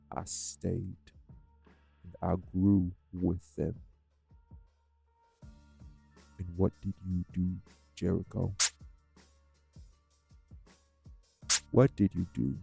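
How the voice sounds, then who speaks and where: A man playing a bitter, guilt-worn vampire sire in an audio drama, with a gravelly voice and a deliberate, emphatic, accusing delivery. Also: deep voice, little pitch movement, mellow, faint music behind, full character voice. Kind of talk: dramatic audio roleplay acting.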